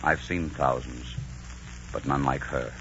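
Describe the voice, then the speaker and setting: An actor playing a commanding, lovestruck Spanish conquistador in a 1940s radio drama. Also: male voice, a bass voice, steady tone, unhurried, creaky, a bit bright, faint music behind, full character voice. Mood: brooding, tender. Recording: dull 1940s radio recording.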